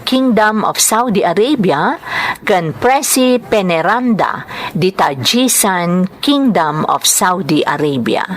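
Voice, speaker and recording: A woman's voice: medium pitch, slight background noise. A woman, hosting and reading out scripted greetings and a letter as narration. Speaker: drama show host and letter reader.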